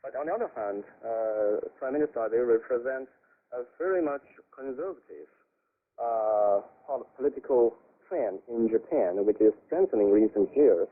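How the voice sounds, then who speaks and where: A man speaking as a professor interviewed on radio, giving formal political analysis in a deliberate, hesitant way. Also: medium pitch, lively intonation. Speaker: expert guest, professor. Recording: tinny narrow-band shortwave radio.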